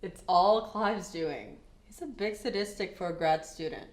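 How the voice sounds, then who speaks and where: A woman's voice: lowish voice, a little slow, lightly acted. A young woman playing a bookish teaching assistant in a comedy web series, with a wry, teasing manner. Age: young adult. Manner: coy, wry.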